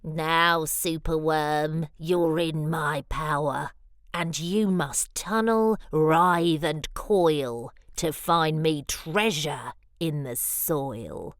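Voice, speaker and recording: A woman voicing a threatening male wizard lizard from a storybook, giving slow, menacing commands. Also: low voice, dry recording, studio quality, pitched below the performer's own voice. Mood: commanding, threatening. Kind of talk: storybook character voice.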